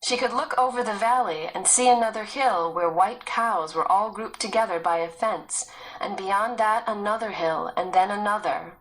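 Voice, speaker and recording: A woman's voice: mid-range voice, moderate pace, low-quality recording. A woman reading a story aloud as a scripted audiobook narrator.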